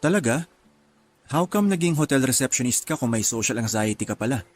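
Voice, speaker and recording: A medium-pitched young man played by an actor, soft-spoken and easygoing, a solo traveler in a radio drama. He slips casually between Tagalog and English, with some background ambience around him. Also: lively intonation, fairly quick, slight background noise, natural voice. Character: laid-back, well-off young male solo traveler.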